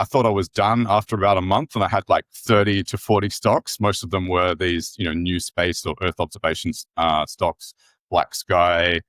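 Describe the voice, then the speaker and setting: A man's voice: sits low, fairly bright tone, no room sound, studio quality. An Australian man in his forties, a podcast guest explaining his thinking at length in a thoughtful, rephrasing way. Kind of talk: casual interview chat.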